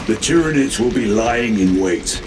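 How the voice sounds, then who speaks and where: An actor playing a stern veteran space marine lieutenant in a video game, speaking in a formal, clipped military manner. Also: male voice, on the low side, lively intonation, normal speed, natural voice. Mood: stern, measured. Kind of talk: dramatic video game acting. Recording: loud music and battle noise behind.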